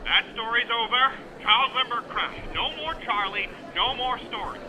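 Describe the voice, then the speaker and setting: A man playing a gruff, brusque newspaper editor in an old-time radio drama, blustering and slangy. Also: very high voice, normal speed, crowd noise. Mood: dismissive, gruff. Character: gruff, exasperated male New York newspaper boss. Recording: noisy ambience behind the voice.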